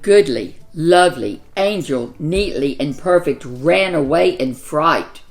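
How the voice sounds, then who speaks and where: A woman reading a children's picture book aloud as a storyteller, with expressive, deliberate, dramatic phrasing. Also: low-pitched, faint music behind.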